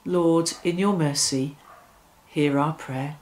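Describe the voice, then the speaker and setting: Slow-paced middle-aged woman leading prayer. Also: sits low, steady tone, darkish tone, poor audio. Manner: measured, reverent, with deliberate phrasing. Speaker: church worship leader. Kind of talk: devotional reflection and led prayer. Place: small furnished room indoors.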